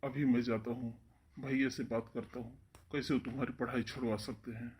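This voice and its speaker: Man playing a young brother, husky medium-pitched voice, earnest, protective, defiant, cartoon melodrama. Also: level tone, fairly quick, a little mellow. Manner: earnest, firm. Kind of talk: melodramatic Hindi cartoon story dialogue.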